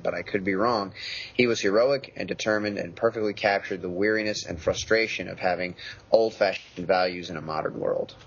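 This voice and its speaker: A man co-hosting a radio show, reading out listener mail in a husky voice. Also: low-pitched. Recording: dull lo-fi audio.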